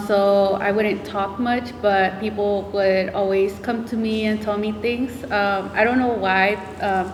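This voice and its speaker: Woman panelist, soft-spoken, filler-heavy, in an echoey room. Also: mid-range voice, slight background noise. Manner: soft-spoken, many ums. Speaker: tutor on a panel. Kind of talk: conference panel talk. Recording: echoey hall.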